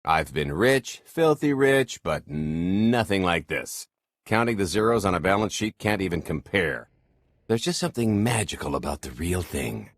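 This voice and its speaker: A man's voice: deep voice, big pitch swings, normal speed, no room sound. A male actor playing a roguish, wisecracking smuggler in a video game, with a wry and cocky delivery. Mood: savoring. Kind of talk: video game cutscene acting.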